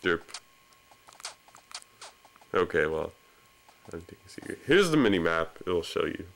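Low-voiced young guy doing animated game commentary. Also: unhurried, vocal fry, low-quality recording. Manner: joking, self-deprecating. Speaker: let's play commentator. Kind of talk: casual off-the-cuff gameplay commentary. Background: video game music.

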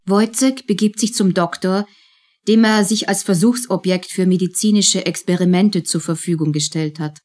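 A woman's voice: fairly low pitch, fairly even intonation, normal speed, a little mellow. A woman reading scripted narration as the narrator of a radio drama.

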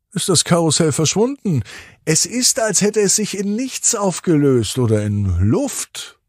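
A man hosting a children's podcast, narrating a bedtime story in an expressive, gentle way. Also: medium pitch, no echo, studio quality.

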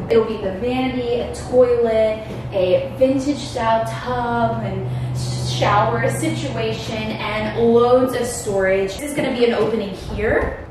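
A young woman vlogging casually, chatty and animated as she tours an empty old house she is renovating. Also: a little high. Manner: chatty, animated, laughs often. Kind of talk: casual home tour vlog. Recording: very echoey empty rooms, noisy background.

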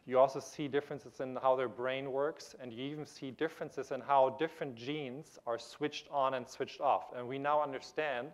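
A man in his thirties delivers a keynote lecture from a large auditorium stage. His lapel mic catches a voice of middle pitch. He explains patiently, often restarting phrases and filling pauses as he moves about. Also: fairly even intonation. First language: German. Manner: explanatory, with frequent restarts and fillers; gestures while pacing the stage. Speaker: keynote speaker. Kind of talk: conference lecture.

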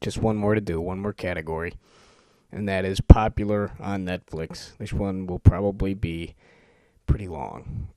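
A man's voice: deep voice, steady tone, moderate pace, dark tone, no room sound. A man making an amateur voice-over sample, speaking in an informal, joking, self-amused way. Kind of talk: casual chat and rapid-fire list reading.

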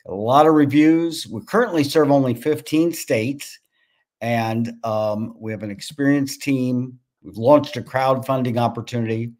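A man in his sixties speaking on a video call as a farm business founder, pitching and answering investor questions. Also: darkish tone. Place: home room with bookshelves.

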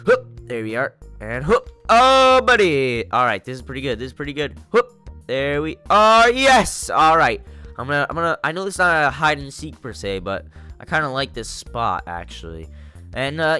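A young man with a congested, stuffy voice giving excitable, jokey gameplay commentary to his viewers. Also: fairly high pitch. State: congested from a cold. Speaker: gaming YouTuber.

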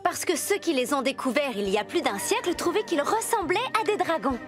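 A woman's voice: high voice, big pitch swings, fairly quick, over music. A woman playing an enthusiastic, doting zookeeper in a French cartoon dub. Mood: explaining.

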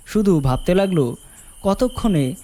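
A man's voice: high voice, lively intonation, a little slow, mellow. A man narrating a scripted horror story as the storyteller.

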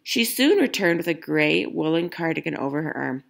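Woman storyteller, low creaky voice, animated intonation, picture book reading. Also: normal speed. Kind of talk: expressive children's story reading.

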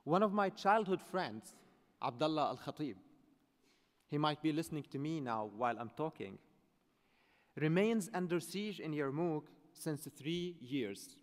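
A man's voice: fairly high pitch, expressive. Young man speaking in a reverberant hall. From Syria. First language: Arabic. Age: young adult. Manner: measured, earnest oratory with deliberate phrasing. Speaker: keynote speaker. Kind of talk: formal prepared speech. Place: large auditorium, at a podium on stage. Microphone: podium microphone. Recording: reverberant large conference hall.

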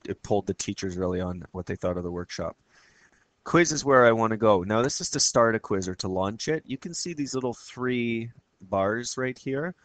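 A man who teaches, talking casually through a screen-recorded software tutorial, his voice a bit raspy from a cold, with plenty of fillers and restarts. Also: lowish voice, dry recording. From Canada. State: has a cold, slightly raspy.

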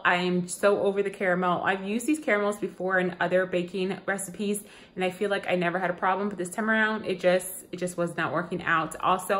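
A woman in her thirties talks easily and a little low to her viewers, walking through a home recipe in a friendly, chatty way. She sounds like she is filming herself on a phone held at arm's length in a home kitchen. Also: fairly bright tone. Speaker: lifestyle vlogger. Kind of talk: casual cooking and cleaning voice-over.

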